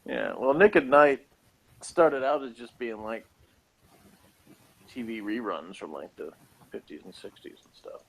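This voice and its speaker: A man's voice: mid-range voice, measured pace, vocal fry, pauses often, a bit bright, low-quality recording. An American man chatting casually as a co-host of a review show, with a laid-back, dry, blunt manner. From United States. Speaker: podcast co-host reviewing a TV episode. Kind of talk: casual chat.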